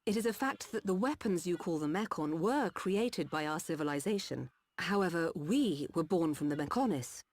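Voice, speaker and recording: A lowish female voice, measured and polite, belongs to a caring doctor of a mechanical people. She speaks formally and with composure, as in a video game cutscene. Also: expressive, a little mellow. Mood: calm, explanatory. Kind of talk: scripted game cutscene acting.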